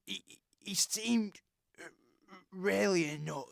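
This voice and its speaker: An actor playing a troubled, scarred man who talks to his dead twin, halting and stammering in a radio drama. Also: high-pitched, creaky, dry recording, heavily acted. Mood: nervous, dreamy.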